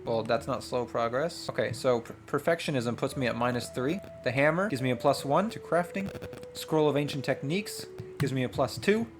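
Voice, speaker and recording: Casting: male gaming host, average pitch, excitable, reads story text and comments off the cuff, music underneath. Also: moderate pace. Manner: excitable, thinks aloud in slangy asides. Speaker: player and host of a gaming channel. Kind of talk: tabletop game play, reading narration aloud and commenting.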